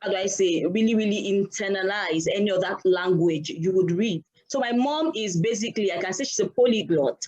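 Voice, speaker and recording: A woman's voice: medium pitch, brisk, hardly any pauses, darkish tone, muffled recording. A Nigerian woman whose first language is Yoruba, telling a story earnestly on a panel and stopping to search for words. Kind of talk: casual panel discussion.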